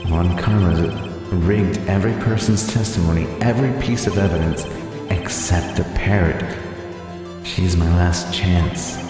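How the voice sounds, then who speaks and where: Man voicing brooding echoing inner thoughts, low. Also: unhurried. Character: brooding young male lawyer's inner thoughts. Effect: reverb for thoughts. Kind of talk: inner monologue in a voiced game playthrough. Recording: long reverb, game music behind.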